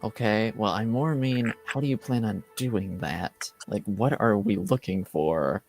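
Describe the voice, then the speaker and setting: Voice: medium pitch, measured pace, a little mellow, close to an everyday voice. A game master playing a polite, awkward Templar guard in a tabletop game, hesitant and understated. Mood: wary. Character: polite, awkward armored male Templar guard, wary boyfriend. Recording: music playing behind the voice.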